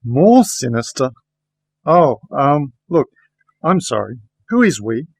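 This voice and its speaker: A man playing a weary, wry private investigator who narrates a noir radio play in deadpan style. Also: medium pitch, slow, husky, no room sound, exaggerated voice. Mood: hesitant. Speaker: narrator and protagonist.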